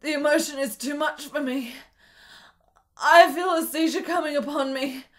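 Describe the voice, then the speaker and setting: A woman's voice: high voice, steady tone, normal speed, close to an everyday voice. An actress playing a frail, lovesick, melancholy woman in a period radio drama, speaking in earnest, melodramatic, formal phrases. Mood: overwrought, desperate.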